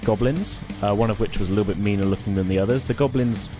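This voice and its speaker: A man's voice: fairly low pitch, quick pace, music in the background. Man narrating a tabletop game. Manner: informal, hesitant, chuckling asides. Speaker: game master.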